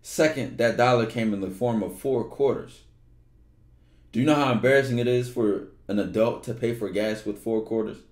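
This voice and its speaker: A young man streaming from his bedroom on a headset, reading a book aloud with relaxed, slangy asides. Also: mid-range voice, moderate pace, reverberant. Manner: relaxed, slangy, loud outbursts when joking.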